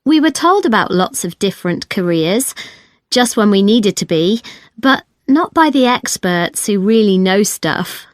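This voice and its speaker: A woman's voice: mid-range voice, animated, measured pace, no room sound, studio quality, lightly acted. An actress playing a frank, independent-minded young female student in a scripted, conversational listening-test dialogue. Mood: chatty. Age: young adult. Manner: conversational, firm.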